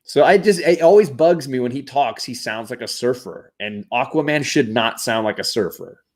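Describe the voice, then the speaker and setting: A man's voice: mid-range voice, lively intonation, moderate pace. A man in a casual pop-culture discussion, opinionated and nitpicking, repeating words for emphasis as a panelist. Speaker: panelist in a live comics chat.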